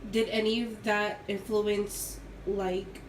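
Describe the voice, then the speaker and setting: A woman's voice: mid-range voice, little pitch movement, slow pace. A young adult woman interviewing her mother for an oral history, asking short, direct questions. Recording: echoey room, faint noise.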